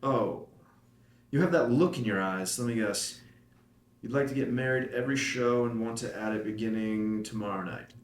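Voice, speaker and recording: An actor reading the part of Ethan, a playful, devoted young husband, in a screenplay table read. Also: male voice, medium pitch, moderate pace, roomy sound, natural voice. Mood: teasing.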